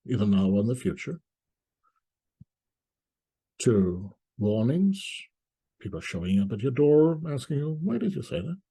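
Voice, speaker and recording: Middle aged guy, mellow n kinda raspy, talking thru his thoughts carefully in short bits. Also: mid-range voice. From East Germany. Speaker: commentator presenting a video essay. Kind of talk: reflective spoken commentary to viewers.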